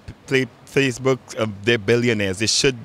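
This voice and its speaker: A man's voice: mid-range voice, fairly quick, fairly bright tone. A young man from Trinidad and Tobago gives earnest, opinionated answers into a handheld mic on a busy city street. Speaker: interviewee. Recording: outdoor street noise behind.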